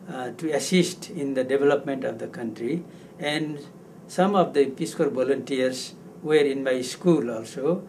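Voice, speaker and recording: An older man from Nepal, a longtime civil servant, speaking slowly and deliberately in an interview. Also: medium pitch, gravelly, slight background noise. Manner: slow, deliberate. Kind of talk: recorded interview.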